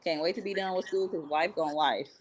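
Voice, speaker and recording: A woman's voice: on the low side, a little fast. A woman in her thirties in a casual podcast chat, teasing at times and reflective. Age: 30s.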